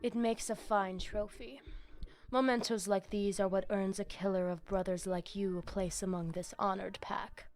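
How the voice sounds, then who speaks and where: A woman's voice: mid-range voice, steady tone, moderate pace, darkish tone, close to an everyday voice. A woman playing a solemn, menacing vampire pack leader in a video game, with formal and ominous phrasing. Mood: solemn approval.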